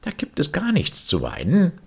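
A man's voice: a little high, huge pitch swings, normal speed, vocal fry, breathy edge, voice pushed up, full character voice. A man voicing a smug, scornful twin from a storybook, triumphant and contemptuous.

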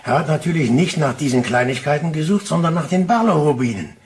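An actor playing a shrewd, genial detective in a German radio crime drama, speaking in a theatrical, inquisitive and courteous way. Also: male voice, fairly high pitch, animated, fast talker, darkish tone, slight background noise, natural voice. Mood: curious, amused. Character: shrewd, genial male police detective, quietly triumphant.

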